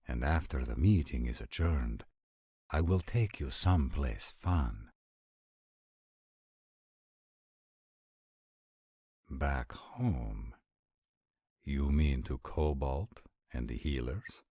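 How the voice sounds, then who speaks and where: An actor playing a formal, dutiful vampire prince, patient but weary, with a husky voice and measured wording in a roleplay audio drama. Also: male voice, extremely low pitch, expressive, dark tone, no room sound, studio quality, heavily acted. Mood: resigned, courteous.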